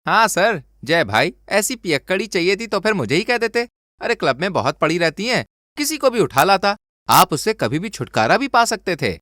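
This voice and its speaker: A crude young cartoon colleague acted by a man, mocking and leering. His voice is high and bright, with exaggerated swings in pitch. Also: very animated, normal speed, dry recording, studio quality, heavily acted. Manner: leering, jeering. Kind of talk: animated moral story dialogue.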